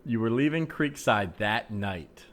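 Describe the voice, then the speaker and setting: A man's voice: lowish voice, animated, a little slow, a bit bright. An American man co-hosting a comedy podcast, reading posts aloud and commenting in casual chat. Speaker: podcast co-host and improviser. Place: remote podcast recording.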